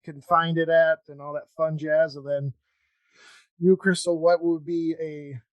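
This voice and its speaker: A man hosting a casual interview podcast, talking in a halting, rambling, informal way. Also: fairly high pitch, flat delivery, dry recording, muffled recording. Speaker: podcast host.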